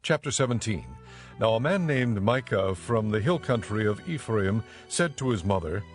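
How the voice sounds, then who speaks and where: Low-voiced male scripture narrator over music. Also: expressive, normal speed.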